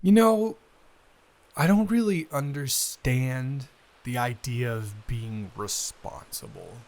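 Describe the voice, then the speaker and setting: A man's voice: mid-range voice, expressive, slow pace, a little mellow. A man playing a responsible, wry eldest son who rambles hesitantly in an improvised intimate audio roleplay. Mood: musing, mildly frustrated.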